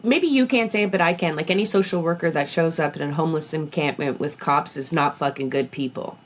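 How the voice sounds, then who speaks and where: A woman's voice: deep voice, brisk. A woman hosting a podcast interview, blunt and indignant, often restarting her words. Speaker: podcast host.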